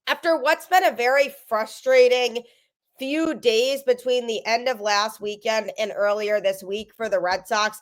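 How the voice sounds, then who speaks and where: A woman's voice: a little high, unhurried. A young woman hosting a sports podcast, talking energetically with a stuffy voice from a cold. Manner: energetic, emphatic. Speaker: podcast host.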